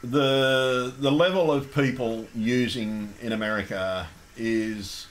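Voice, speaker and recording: A man's voice: medium pitch, takes it slowly, rough recording. A man with an Australian accent hosting a casual call-in show, rambling and chatty with lots of ums and restarts.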